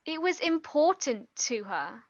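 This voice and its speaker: An actress playing an earnest, guilt-ridden young woman, halting and pleading, in a naturalistic stage drama. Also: sits high, sing-song, no room sound, highs cut off, heavily acted. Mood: earnest, defensive.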